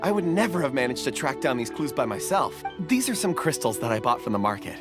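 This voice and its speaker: Guy voicing gentle polite young dreamer in a video game, very up and down delivery, music clearly behind. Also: mid-range voice, sing-song, fast talker, close to an everyday voice. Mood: grateful.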